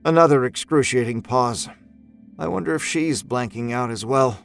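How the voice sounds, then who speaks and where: A man narrating a first-person horror story in a measured, brooding, expressive way. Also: mid-range voice, vocal fry. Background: music score.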